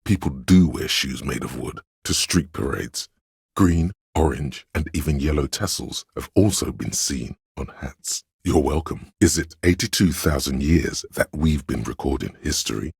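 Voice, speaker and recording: Game narrator: a know-it-all voice of knowledge, pedantic and measured, gravelly and very raspy, recorded close and dry. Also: male voice, very deep voice, no echo, full character voice. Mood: smug, musing. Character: male inner voice of encyclopedic knowledge, erudite and smug. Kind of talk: scripted video game narration.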